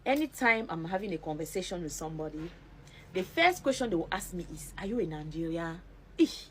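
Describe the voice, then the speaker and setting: A woman's voice: lowish voice, sing-song, fairly quick, slight background noise. A woman from Cameroon, speaking casually in a weary, exasperated way. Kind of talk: casual testimony clip.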